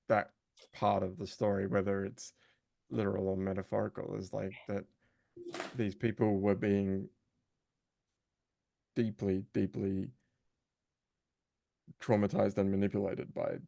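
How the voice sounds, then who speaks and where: A man's voice: fairly low pitch, little pitch movement, measured pace, gravelly, pauses often, dark tone, no echo. A man who narrates audiobooks and does voice work, chatting casually in an interview and thinking aloud with frequent fillers and restarts.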